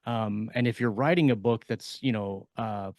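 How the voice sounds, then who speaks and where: A man co-hosting a podcast in a casual panel discussion, speaking haltingly as he thinks aloud. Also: on the low side, a little mellow, dry recording, studio quality. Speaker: podcast co-host and fiction writer.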